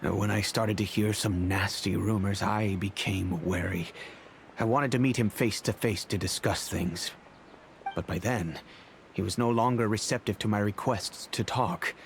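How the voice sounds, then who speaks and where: An actor playing a fatherly middle-aged merchant who speaks in a measured, reflective, remorseful way, over faint music. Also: on the low side, gravelly, slight background noise. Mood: thoughtful. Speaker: wealthy patron and father figure. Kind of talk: video game voice acting. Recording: game audio with faint music.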